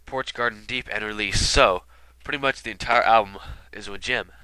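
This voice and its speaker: Sick guy all stuffed up, rambling about albums, bright clear voice, dry close mic. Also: on the low side, moderate pace, no room sound, low-quality recording. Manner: rambling, many fillers and asides. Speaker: video commentator. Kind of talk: casual album retrospective commentary.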